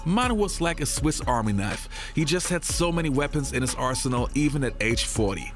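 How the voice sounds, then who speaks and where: A man with a lively, bouncing delivery narrates a scripted countdown. Music plays clearly behind him, like a produced video voice-over. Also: medium pitch, fairly quick. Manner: animated, list-style presentation. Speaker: basketball history channel host. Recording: background music under voice.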